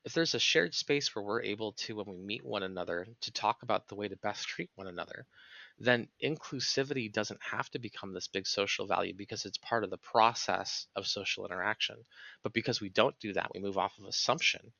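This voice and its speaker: Man, podcast guest, forceful bright tone, earnest analytical explainer, reflective conversation, dry close-miked sound, slightly dull audio. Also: on the low side, brisk, gravelly, no echo.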